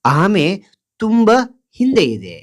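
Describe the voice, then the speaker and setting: A man teaching like a dictation lesson, reading out short phrases deliberately and repeating them word by word. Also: high-pitched, wildly swooping pitch. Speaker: teacher presenting a children's writing lesson. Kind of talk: slow dictation-style lesson, reading out a story point by point.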